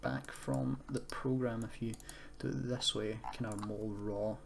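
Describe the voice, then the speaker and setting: A young man with a fairly low voice walks through a screen-recorded tutorial. He is informal and hesitant, correcting himself as he explains. There is noticeable noise and keyboard typing behind him. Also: fairly even intonation, measured pace, vocal fry. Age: young adult. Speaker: tutorial presenter, university student. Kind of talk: casual screen-recorded coding tutorial, unscripted. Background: computer keyboard typing and noise.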